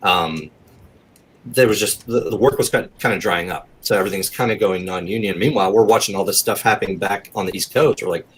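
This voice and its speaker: A man's voice: deep voice, brisk, husky. An American man in his forties chatting casually and candidly as an interview guest, rambling a little. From southeastern United States. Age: 40s. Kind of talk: casual autobiographical chat.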